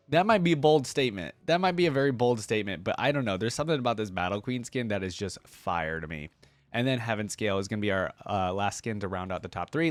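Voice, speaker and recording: A man with a mid-pitched voice hosts a casual reaction video. He reads passages aloud and breaks off to think out loud in offhand asides. The sound is dry, with very little room in it. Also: dry recording. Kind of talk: casual reaction commentary, reading wiki text aloud.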